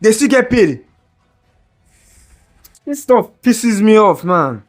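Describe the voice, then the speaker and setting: A man's voice: high voice, sing-song, slow pace, soft background music. A young man ranting in a heated, exasperated way about a football match, stumbling over restarts and straining his voice. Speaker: football fan vlogger. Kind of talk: ranting reaction video.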